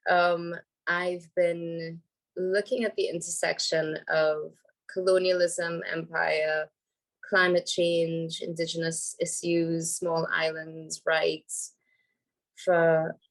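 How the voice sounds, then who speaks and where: A woman, hoarse from a cold, speaking on a video call as a panel speaker, thoughtful with many ums and restarts. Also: fairly low pitch, little pitch movement, slow pace, poor audio. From Trinidad and Tobago. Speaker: panel speaker, environmental lawyer. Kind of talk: casual panel talk.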